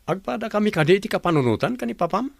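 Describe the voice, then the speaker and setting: Scolding young pal, high voice, Ilocano accent, radio drama, close dry sound. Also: male voice, lively intonation, rapid-fire, creaky, dry recording, heavily acted. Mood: scolding, incredulous. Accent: Filipino, Ilocano.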